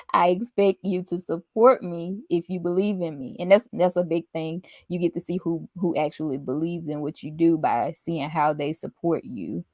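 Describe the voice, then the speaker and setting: A soft-spoken woman hosting a podcast, chatting casually and laughing often. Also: fairly low pitch, no echo, clean studio sound. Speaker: podcast host. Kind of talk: casual chat.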